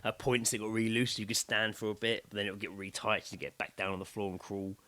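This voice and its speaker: A man chatting casually as a podcast co-host, telling stories in an excitable, blokey, sweary way. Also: lowish voice, quick pace. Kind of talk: casual chat, animated storytelling.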